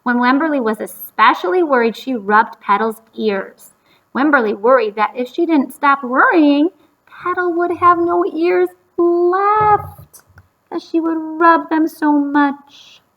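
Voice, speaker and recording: A woman reading a story aloud to young children, pausing to explain and ask them simple questions. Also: sits high, dull audio.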